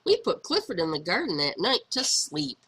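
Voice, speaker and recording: Animated, bright-voiced woman reading a picture book. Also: lowish voice, normal speed, husky. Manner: animated, dramatic, stretches words for emphasis. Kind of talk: expressive read-aloud narration for young children.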